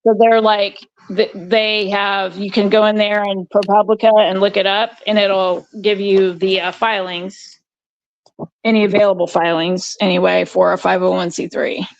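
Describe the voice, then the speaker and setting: A woman's voice: mid-range voice, flat delivery, normal speed, no room sound. Woman, helpful explainer, many restarts, dull muffled audio. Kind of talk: casual discussion.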